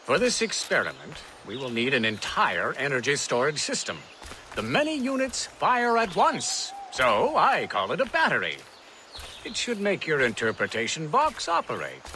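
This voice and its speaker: Eccentric male inventor for a game, proud and eager, hugely varied delivery with a bright edge. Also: a little high, wildly swooping pitch, normal speed, a little hiss or hum, full character voice. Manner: eager, explanatory, showmanlike. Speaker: inventor scientist. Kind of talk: dramatic video game acting.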